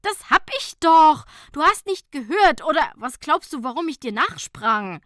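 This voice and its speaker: Woman playing a bright, sassy, outspoken friend. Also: high voice, sing-song, a little slow, no room sound, studio quality, pitched above the performer's own voice, exaggerated voice. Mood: reproachful. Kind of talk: humorous audiobook character dialogue.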